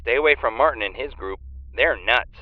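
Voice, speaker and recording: An actor playing an earnest, talkative fan-fiction writer, heard over a phone call in an audio drama. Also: male voice, medium pitch, fairly quick. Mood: wary, emphatic. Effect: phone call filter. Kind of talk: naturalistic audio drama acting. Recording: thin, band-limited phone line.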